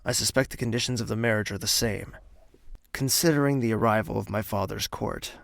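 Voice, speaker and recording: An actor playing a weary, guarded young prince in an audio drama. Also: male voice, on the low side, fairly quick, vocal fry, dry recording, studio quality. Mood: weary, wry. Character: wary, weary young prince recovering from confinement.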